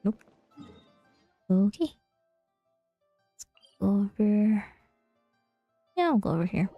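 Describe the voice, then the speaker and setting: A woman's voice: medium pitch, big pitch swings, takes it slowly, dry recording, lo-fi sound. A woman game streamer giving casual gameplay commentary, thinking aloud as she goes. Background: faint game music.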